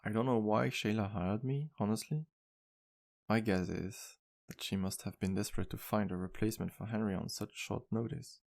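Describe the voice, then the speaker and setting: A man playing a low, creaky-voiced charming vampire bartender. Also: fairly even intonation, normal speed. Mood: warm, modest. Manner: gentle, courteous, slightly formal phrasing. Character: charming, polite, modest male vampire bartender with guilty past. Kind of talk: ASMR roleplay, intimate one-sided dialogue.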